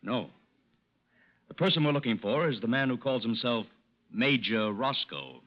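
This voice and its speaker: An actor playing a methodical, businesslike federal agent, formal and politely probing, in 1940s radio style. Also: male voice, medium pitch, animated, normal speed, natural voice. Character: businesslike, methodical male FBI special agent. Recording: muffled old-time radio recording.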